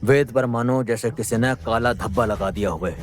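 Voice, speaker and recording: A man's voice: mid-range voice, normal speed. A man with a Haryanvi accent narrating a scripted audio drama in a dramatic storytelling style. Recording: music under the voice. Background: background music.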